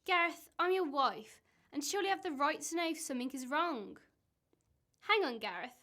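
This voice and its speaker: A woman's voice: high-pitched, expressive, moderate pace, pushed and bright, full character voice. A woman in an amateur radio play acting a suspicious, exasperated wife, accusing and sarcastic.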